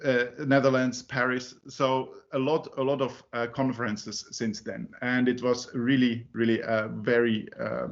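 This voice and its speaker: A man's voice: mid-range voice, little pitch movement, measured pace, hardly any pauses, lo-fi sound. A man from Finland who grew up in Zurich, not a native English speaker, giving a talk at a user group meeting with many fillers and restarts. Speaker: conference speaker.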